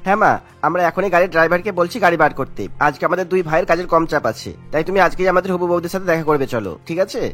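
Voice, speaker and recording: Man playing a very fast-talking, high-voiced son. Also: a bit bright, faint music behind. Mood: eager. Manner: coaxing, earnest. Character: eager, dutiful grown son planning his wedding. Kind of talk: cartoon story voice acting.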